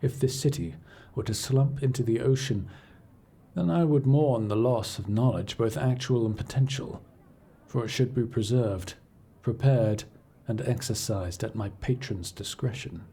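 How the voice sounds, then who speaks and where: A man playing a cool, imperious scholar-agent in a fantasy audio roleplay, speaking in a formal, measured, dryly condescending way. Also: mid-range voice, warm tone, husky. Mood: detached, solemn. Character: cool, imperious male scholar-agent serving an arcane archivist patron. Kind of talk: scripted audio roleplay acting, addressing the listener.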